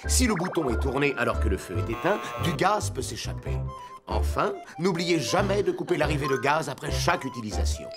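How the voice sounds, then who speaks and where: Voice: medium pitch, big pitch swings, a little fast, music in the background, natural voice. An actor in a French cartoon dub playing a helpful rescue fire truck, giving safety advice in a didactic way. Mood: instructive. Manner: didactic, clearly phrased.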